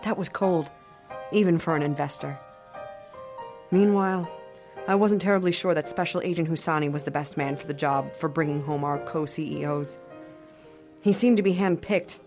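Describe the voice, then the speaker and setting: A woman's voice: sits low, fairly even intonation, normal speed, a little mellow, faint music behind. An actress playing a skeptical company lawyer telling her story in an audio drama with dry, wry disapproval. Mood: wry, reflective. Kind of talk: first-person audio drama narration.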